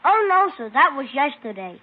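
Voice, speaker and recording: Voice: sits high, very animated, normal speed, phone-quality sound. A performer playing an eager, boastful six-year-old boy with an earnest, childlike manner in an old radio drama. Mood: insistent. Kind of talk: 1950s radio drama acting. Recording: thin, band-limited old radio recording.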